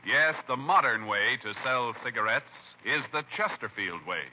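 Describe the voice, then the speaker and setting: A man's voice: mid-range voice, animated, moderate pace. An American man announcing on 1950s radio in a formal, emphatic style. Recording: muffled vintage radio recording.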